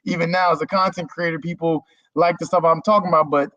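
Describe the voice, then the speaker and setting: A man's voice: fairly high pitch, fairly even intonation, fast talker, pushed and bright, no room sound. A man, a content creator guest, talking casually about himself in an easygoing, rambling way over a video call. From Germany. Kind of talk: casual interview talk. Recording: dull lo-fi stream audio.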